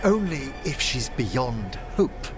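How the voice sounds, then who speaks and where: An older, learned necromancer gentleman in a video game. His delivery is formal, earnest and refined, with very varied pitch. Music plays clearly behind him. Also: very animated, slow pace. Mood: solemn, concerned. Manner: refined, formal, precise diction.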